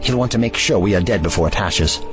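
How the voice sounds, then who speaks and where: Man playing a grave old-soul time traveller, low voice, wordy and formal, audio drama with music under. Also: close to an everyday voice. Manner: formal, measured, pompous. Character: grave, erudite ancient male alien time traveller.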